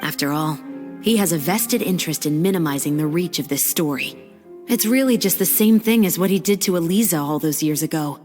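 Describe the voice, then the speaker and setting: A woman plays a composed patrol officer in a game cutscene. Her voice sits on the low side, measured and resolute, speaking of law like someone who believes in it. It rings with long reverb over music. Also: darkish tone, close to an everyday voice. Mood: deductive, assured. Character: composed female patrol officer, firm believer in lawful justice. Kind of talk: dubbed video game cutscene acting.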